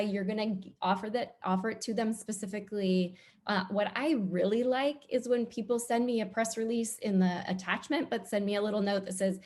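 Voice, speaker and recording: A woman's voice: mid-range voice, darkish tone. Chatty woman with a mid-range voice. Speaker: panelist, TV news producer. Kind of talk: casual panel discussion.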